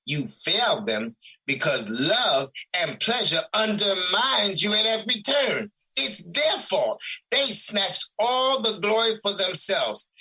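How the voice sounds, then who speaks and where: A man playing Resentment as a personified emotion in an audio drama, scheming and manipulative, with a husky, insinuating, theatrical delivery. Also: fairly high pitch, bright, forceful tone, heavily acted, low-quality recording.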